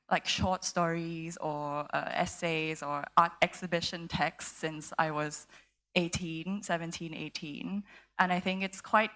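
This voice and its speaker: A woman's voice: lowish voice, fairly bright tone. A young woman from Thailand, a literary agent speaking on a festival panel stage, casual and full of fillers. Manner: casual, many fillers like "um" and "you know", laughs lightly. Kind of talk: panel discussion.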